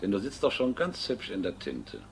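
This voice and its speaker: An actor playing a menacing, sneering crook who mocks and threatens in a crime radio drama. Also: male voice, fairly low pitch, wildly swooping pitch, normal speed, gravelly, slight background noise, full character voice. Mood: mocking. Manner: mocking, threatening. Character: menacing, sneering male crook bullying his former lover.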